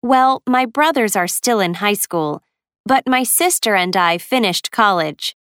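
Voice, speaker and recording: A woman's voice: medium pitch, lively intonation, a little slow, fairly bright tone, clean tone, no room sound, clean studio sound. A woman in her twenties reading the lines of a young dance teacher plainly in a scripted textbook dialogue. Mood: calm. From United States.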